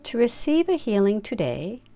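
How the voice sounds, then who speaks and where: A woman leading a guided meditation, giving soft, unhurried instructions to relax and imagine. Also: medium pitch, wildly swooping pitch. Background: music.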